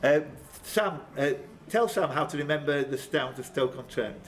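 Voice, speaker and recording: A man's voice: fairly high pitch, animated, moderate pace. A man hosting a live pub quiz as quiz master, reading questions and tossing out bantering, jokey asides. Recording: background room noise.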